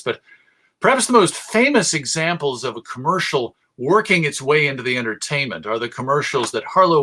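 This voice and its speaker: Bright, forceful American man presenting. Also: mid-range voice. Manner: wry, jokey asides to listeners. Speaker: radio show host giving a talk. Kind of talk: live presentation to an audience, conversational lecture.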